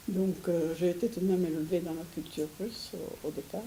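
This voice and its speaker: An older woman from Syria giving an interview, speaking hesitantly with many restarts and soft laughs. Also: lowish voice, slight background noise, rough recording. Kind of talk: recorded interview.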